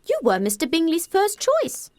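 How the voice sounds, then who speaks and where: A woman voicing a fussy, excitable middle-aged mother in an audiobook, gossipy and indignant, with emphatic exclamations. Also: very high-pitched, normal speed, no room sound, voice pushed up, heavily acted. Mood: coy, civil. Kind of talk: audiobook character voice.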